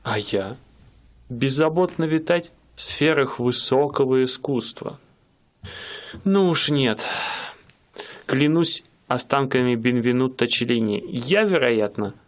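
A proud young painter in a radio play, wry and self-mocking about his hard times, speaking in a middle-pitched man's voice. He grumbles and jokes in turn, as an actor voices him. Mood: bitter.

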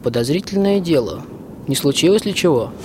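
A man's voice: on the high side, sing-song, fairly quick, slight background noise. A young man playing a bold, hearty soldier husband in a rhymed radio play. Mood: hearty, puzzled. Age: young adult. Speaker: school student actor. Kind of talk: theatrical verse radio play.

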